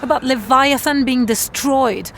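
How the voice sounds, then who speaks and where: An actress playing a guarded, concerned woman in an audio drama, restrained and direct, gently insistent. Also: sits high, measured pace, a little hiss or hum. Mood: worried, insistent.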